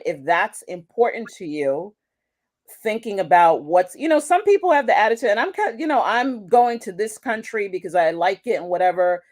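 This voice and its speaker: A woman who works as an international manager, speaking as a guest in a conversational interview. She talks reflectively, restarting sentences and asking rhetorical questions. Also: medium pitch. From United States.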